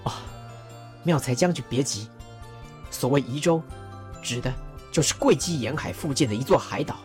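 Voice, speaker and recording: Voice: medium pitch, animated, normal speed, faint music behind, close to an everyday voice. A performer voicing a learned male court advisor who explains a folk legend in a radio drama. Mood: explaining. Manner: explaining, storytelling.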